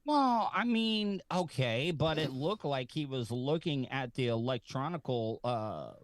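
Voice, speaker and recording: A man telling casual comic anecdotes as a talk show guest, in a halting, rambling way. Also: mid-range voice, animated, no room sound.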